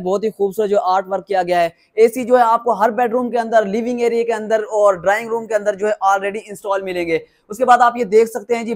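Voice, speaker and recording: A man with a Pakistani accent presenting a house tour enthusiastically, with effusive, repetitive salesman patter. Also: high-pitched. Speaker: property tour host.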